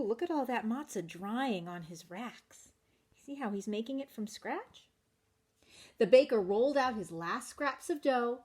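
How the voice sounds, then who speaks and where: A woman storyteller reads for young children. Her voice is high and highly animated. She shifts her volume expressively and talks straight to her listeners. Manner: expressive, asks listeners questions, varies volume. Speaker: children's story reader, teacher.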